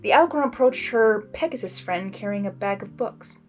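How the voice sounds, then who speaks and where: A woman reading a story aloud as a host, with an excitable, gushing, expressive delivery. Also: medium pitch, moderate pace, soft background music, rough recording. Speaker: fan fiction reader and channel host.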